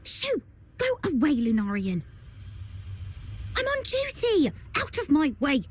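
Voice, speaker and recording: A woman's voice: high voice, huge pitch swings, takes it slowly, heavily acted. A woman playing a curt young soldier on guard duty in a video game, brusque and clipped like a military report. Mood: irritated. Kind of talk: scripted video game acting.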